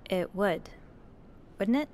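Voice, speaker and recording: An actress playing a friendly, inquisitive young hotel maid in a video game, talking casually with a slightly hesitant, teasing manner. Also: female voice, mid-range voice, animated, slow pace, a little mellow. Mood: shy, teasing. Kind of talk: natural conversational game acting.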